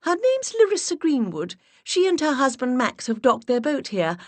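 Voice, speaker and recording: A woman's voice: wildly swooping pitch, normal speed, no echo, full character voice. A woman putting on a gushing, giggly English socialite. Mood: gushing, coy. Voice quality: high, breathy, exaggerated accent. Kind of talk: audiobook character voice.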